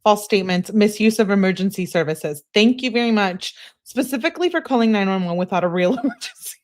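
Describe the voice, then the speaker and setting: A woman's voice: medium pitch, lively intonation, quick pace, darkish tone. A woman giving casual, sarcastic live commentary as she reacts to a video. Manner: sarcastic, informal. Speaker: livestream commentator.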